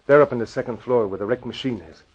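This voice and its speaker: An actor playing a nervous, fawning henchman in an old radio drama, excitable and husky. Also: mid-range voice, quick pace, natural voice. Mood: anxious. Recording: dull vintage radio recording.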